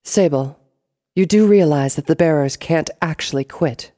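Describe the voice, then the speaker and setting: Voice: medium pitch, moderate pace, warm tone, no echo, clean studio sound, voice pushed up, close to an everyday voice. A sly female mastermind from a fantasy audiobook, acted by the narrator. She speaks in a lifted voice, smug and teasing, always coolly amused at her underlings. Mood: probing.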